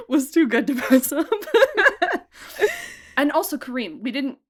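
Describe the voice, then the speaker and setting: A woman's voice: sits high, big pitch swings, slow pace. Enthusiastic giggly woman chatting. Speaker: audio drama director and producer being interviewed. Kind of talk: casual interview chat.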